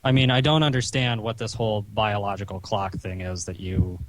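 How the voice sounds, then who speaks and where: A man talking on a recorded phone call in a stern, chiding, halting way, padding his sentences with "you know". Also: fairly low pitch, steady tone. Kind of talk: phone conversation, reproachful lecturing.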